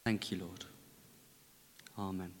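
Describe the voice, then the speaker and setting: Bloke giving a sermon, easygoing with dry jokes, big echoey church. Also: male voice, low-pitched, level tone. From Lancashire, England. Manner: gentle, measured, conversational with dry humour. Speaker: preacher. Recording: long reverb of a large church.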